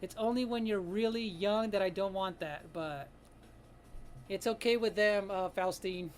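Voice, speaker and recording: Late-thirties art streamer, relaxed chat with viewers, frequent laughs, mid-pitched voice. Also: a little slow, a little hiss or hum. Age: 30s. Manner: laughs often, greets chat viewers by name. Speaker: live-stream artist host. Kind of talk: casual live-stream chat while drawing.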